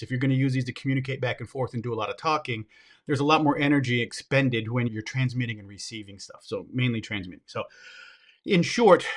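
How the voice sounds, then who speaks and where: Upbeat man demonstrating gear on camera. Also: medium pitch. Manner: upbeat, enthusiastic, addresses viewers directly; talks while handling gear, brief pauses and asides. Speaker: product reviewer. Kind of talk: hands-on product demo.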